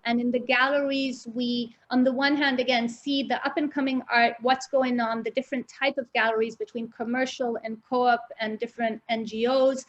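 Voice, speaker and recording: A woman's voice: fairly high pitch, flat delivery, moderate pace, hardly any pauses. A woman from Israel with a mixed British and American English accent, explaining things informally and articulately as a guest art guide over a dull online connection. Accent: British-American English mix. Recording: dull lo-fi online call audio.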